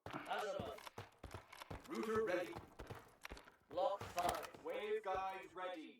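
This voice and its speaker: Heavy echo covers this high male voice of an impersonal game technician. He calls out system checks in clipped phrases. Faint noise sits behind. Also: slow. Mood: businesslike. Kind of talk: video game status announcements. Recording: very echoey, faintly noisy.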